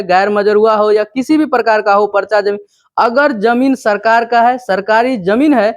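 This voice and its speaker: A man's voice: high voice, no room sound, studio quality. A man with a Bihari accent presenting an informal explainer, teaching step by step with repetition and questions he answers himself. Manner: didactic, repetitive, asks and answers own questions. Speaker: video presenter explaining land law.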